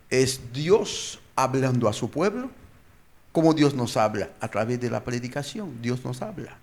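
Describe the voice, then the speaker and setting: A man's voice: mid-range voice, a little mellow. A man speaking as a pastor, teaching informally in a group discussion, repeating phrases and posing questions. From born and raised outside Mexico, living in Mexico. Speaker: pastor, guest explainer.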